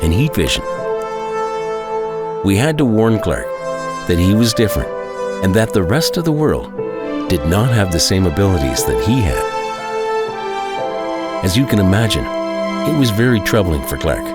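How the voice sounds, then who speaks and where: A low, expressive small-town dad tells his story in an audio drama. He is plainspoken, wry and a bit apprehensive, conversational over prominent music. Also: moderate pace, long pauses. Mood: earnest narration. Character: plainspoken, apprehensive Kansas farm-town husband and father. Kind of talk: first-person audio drama narration and dialogue.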